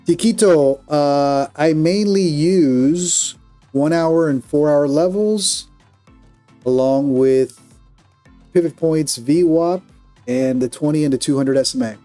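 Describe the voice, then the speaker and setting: Colombian man, mellow, candid trader talk. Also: fairly high pitch, takes it slowly, faint music behind. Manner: candid, self-critical, with frequent restarts. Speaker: day trader streaming live. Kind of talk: live stream commentary, reading viewer chat.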